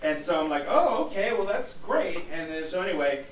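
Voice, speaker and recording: A man's voice: sits high, very animated, reverberant, distant mic, a crowd in the background. A man on a radio morning show telling an anecdote with many hesitations. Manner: halting, many "uh"s while telling a story.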